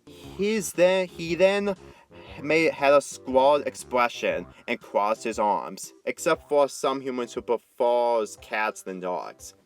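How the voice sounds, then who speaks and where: A man's voice: mid-range voice, animated, slow pace, soft background music. A man narrating a fan fiction story as an amateur reader, often stumbling and rereading phrases.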